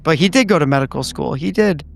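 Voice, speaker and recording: A man's voice: on the high side, animated, quick pace, gravelly. A man playing a tabletop horror game, casually narrating and asking about his character.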